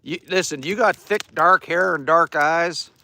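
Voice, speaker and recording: Chatty Canadian man, bright voice. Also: a little high, a little slow, gravelly, dry recording. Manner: chatty, folksy, joking. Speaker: livestream host. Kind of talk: casual live chat reading viewer comments. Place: cabin by a wood fire.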